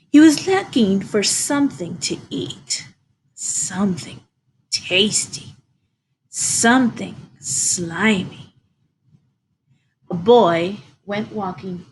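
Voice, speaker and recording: Female children's storybook reader, high voice, very unhurried, expressive and deliberate delivery. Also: slight background noise, low-quality recording. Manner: expressive, deliberate, addresses young listeners directly. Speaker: school librarian reading a children's book. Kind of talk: animated read-aloud storytelling for young children.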